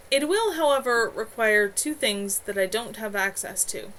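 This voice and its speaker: A woman's voice: medium pitch, a bit bright, close to an everyday voice. A woman voicing an ambitious female witch from a storybook, imperious and businesslike. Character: ambitious witch coven member, female, hungry for knowledge. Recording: clearly noisy home livestream.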